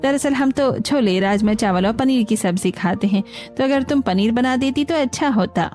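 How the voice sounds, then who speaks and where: A woman's voice: on the high side, moderate pace, a little mellow, soft background music, natural voice. Woman as a fussy older aunt. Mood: fussy, demanding. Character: fussy, demanding older aunt visiting as a guest. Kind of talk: Hindi cartoon dialogue.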